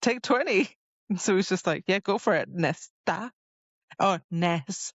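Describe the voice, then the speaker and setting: A woman's voice: on the low side, expressive, measured pace, no room sound, lo-fi sound. A woman co-hosting a podcast, chatting casually about a fantasy romance novel with giggly, playful reactions and mock quotes. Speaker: podcast co-host.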